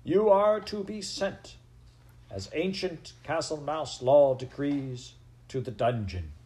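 A man's voice: fairly low pitch, moderate pace, darkish tone, close to an everyday voice. A man voicing a stern, pompous head mouse who declaims imperiously in a storybook reading. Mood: stern, outraged.

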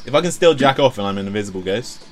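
Playful man with lively pitch. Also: rapid-fire. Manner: playful, jokey, laughs often. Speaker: podcast host. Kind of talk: casual chat.

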